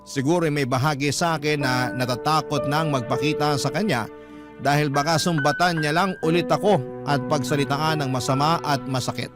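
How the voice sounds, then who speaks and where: Filipino guy radio host reading out a letter like a drama story, highish voice, music underneath. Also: normal speed. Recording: music bed behind narration. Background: instrumental music.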